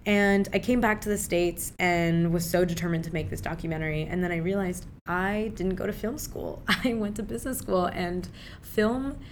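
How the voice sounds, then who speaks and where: A woman's voice: on the low side, moderate pace, slight background noise. A young woman from Pakistan whose native tongue is Urdu, a filmmaker guest talking casually and animatedly in an interview, with lots of fillers.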